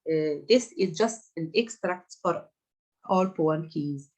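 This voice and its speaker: A woman's voice: deep voice, slow pace. A woman whose first language is Arabic, hosting an online festival talk over a video call, speaking carefully with many fillers and restarts.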